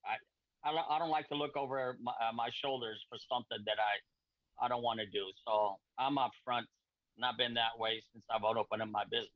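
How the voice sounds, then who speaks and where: A middle-aged man from Portugal, a repair shop owner and guest on a video call, speaking in halting, repetitive friendly sentences. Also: medium pitch, steady tone, no echo. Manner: halting, repeats words, friendly. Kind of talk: casual video-call chat. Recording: dull lo-fi video-call audio.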